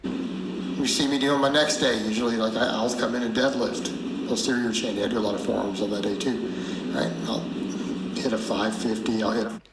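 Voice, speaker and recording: A man's voice: fairly low pitch, fairly even intonation, normal speed. A man in his forties casually describing his lifting routine in a matter-of-fact way, recorded in a garage gym. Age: 40s. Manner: matter-of-fact, listing lifts and weights. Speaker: lifter describing his training. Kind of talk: casual training vlog talk. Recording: echoey clip with loud vehicle noise.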